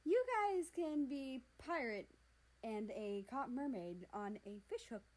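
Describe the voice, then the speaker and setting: A woman's voice: on the high side, expressive, slow, a little mellow, voice pushed up. A woman voicing a rude, teasing, sarcastic older brother in a story, rambling along. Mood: excited teasing.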